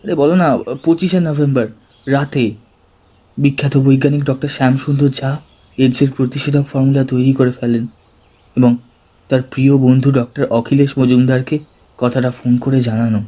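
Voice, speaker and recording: Man acting eager, mellow-voiced young student. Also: medium pitch, fairly even intonation, normal speed, pauses often, close to an everyday voice. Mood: urgent, hesitant. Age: young adult. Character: Anish, excitable, earnest young male college student. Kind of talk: Bengali audio drama acting.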